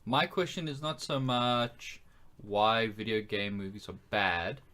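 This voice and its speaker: A man's voice: lowish voice, measured pace, pushed and bright. A man co-hosting a casual podcast, speaking in an articulate, analytical and sarcastic way. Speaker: podcast co-host.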